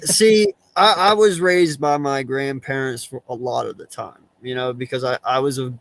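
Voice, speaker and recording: A man hosting a casual late-night fan stream, laid-back and earnest, swearing casually. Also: mid-range voice. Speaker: stream host. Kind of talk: casual chat.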